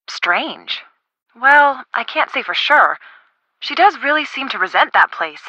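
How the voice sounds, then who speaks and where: A woman voicing a friendly young woman in a video game, casual, expressive and helpful. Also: a little high, dry recording, lo-fi sound. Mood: thoughtful, gossipy.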